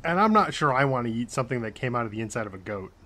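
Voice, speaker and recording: A man's voice: medium pitch, very animated, rapid-fire, pushed and bright. A man playing a blunt, skeptical young crewman in a space audio drama, casual and incredulous.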